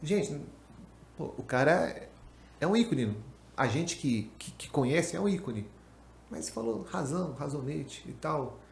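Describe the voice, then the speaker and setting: A man in his fifties from Pernambuco, hosting a podcast and chatting in an animated, slangy way. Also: a little high, a little slow, creaky. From Pernambuco, Brazil. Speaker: podcast host, accountant. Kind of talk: casual chat. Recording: echoey room.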